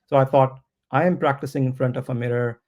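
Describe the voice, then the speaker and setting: A man whose first language is not English, answering interview questions about his product in a slow, thoughtful way with many pauses and restarts. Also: mid-range voice, fairly even intonation, darkish tone. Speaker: startup founder, interview guest. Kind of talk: podcast interview.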